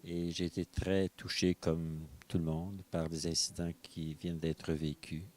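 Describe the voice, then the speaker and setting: Québécois man, very low voice, unhurried, gentle and hesitant testimony. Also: little pitch movement. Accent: Québécois French. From Quebec. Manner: soft-spoken, hesitant, searching for words. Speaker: visitor giving a testimony. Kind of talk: reflective personal testimony. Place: prayer room of a mosque.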